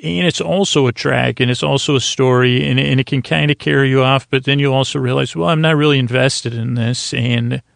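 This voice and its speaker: A man with a creaky, gravelly voice hosting a sleep podcast, giving a halting, meandering, soothing bedtime monologue full of digressions. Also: mid-range voice, fairly even intonation, dry recording, clean studio sound. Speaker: podcast host. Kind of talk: rambling bedtime monologue for falling asleep.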